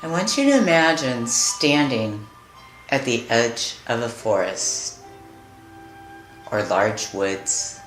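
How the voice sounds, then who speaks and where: A woman leading a guided meditation in a soothing, deliberate manner, leaving long pauses between phrases. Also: very deep voice, expressive. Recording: roomy echo with music behind. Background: music.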